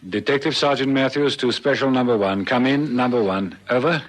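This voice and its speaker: A South African man playing a dutiful, wry detective sergeant in a radio drama, brisk and procedural. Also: medium pitch, natural voice. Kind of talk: old radio drama acting. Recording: vintage radio recording, faint noise.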